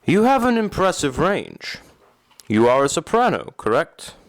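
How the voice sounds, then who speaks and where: Guy acting as a brooding phantom of the opera, formal and imperious, swingy pitch, dry close sound. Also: very animated, measured pace, dry recording. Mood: measured, intrigued. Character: brooding, commanding male phantom of an opera house. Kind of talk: audio drama acting.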